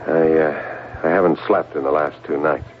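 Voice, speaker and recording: An actor playing a troubled, fearful man on a secret project in a 1970s radio drama, speaking haltingly. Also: rumbling low, fairly even intonation. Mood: anxious, confessing. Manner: halting, hesitant, repeating words. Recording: tinny, noisy old radio broadcast.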